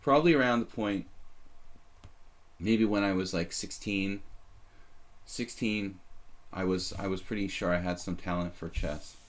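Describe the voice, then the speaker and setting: A man's voice: low voice, a little slow, long pauses. A man in his thirties casually commenting on his own chess games on a live stream, rambling and self-deprecating, with a slight leftover cough. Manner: rambling, self-deprecating, many fillers and restarts.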